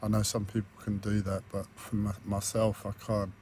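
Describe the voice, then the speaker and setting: A man with a croaky, hoarse voice, talking hesitantly with many fillers and restarts in a personal video diary recorded on a tablet. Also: on the low side, completely flat delivery, moderate pace, a little mellow, slight background noise. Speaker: vlogger.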